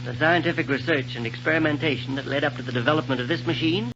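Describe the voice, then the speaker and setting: Through loud hiss and a dull old radio sound comes a man playing an eccentric doctor of science. His voice sits a little high for a man. He explains in a musing, professorial way, kindly and proud, with little hesitations, in 1930s serial style. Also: quick pace, lightly acted. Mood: proud, explaining. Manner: hesitant, musing, professorial delivery with technical jargon. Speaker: radio actor. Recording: noisy muffled vintage radio recording.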